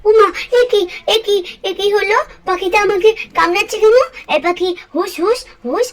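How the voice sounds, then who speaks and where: Mischievous little girl doll, cartoon, extremely high-pitched, whiny, pleading repeatedly. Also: fairly even intonation, moderate pace. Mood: panicked, pleading. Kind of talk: doll-story cartoon voice acting.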